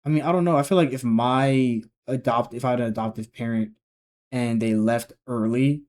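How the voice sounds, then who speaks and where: A man's voice: mid-range voice, fairly even intonation, moderate pace, a little mellow. Young man rambling through a reaction. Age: 20s. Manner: rambling, thinking aloud with restarts. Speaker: reaction video host. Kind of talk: casual reaction commentary.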